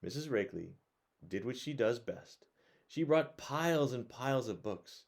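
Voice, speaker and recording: Man, children's storybook reader, lively swooping pitch, creaky voice, conversational asides, expressive. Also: moderate pace. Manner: expressive, animated, addresses young listeners with questions.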